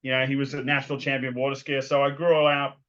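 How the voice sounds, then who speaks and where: A man's voice: medium pitch, level tone, quick pace, a bit bright, lo-fi sound. A man with an Australian accent, a guest telling his story in a loose, rambling way with lots of ums and restarts. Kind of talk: casual interview talk.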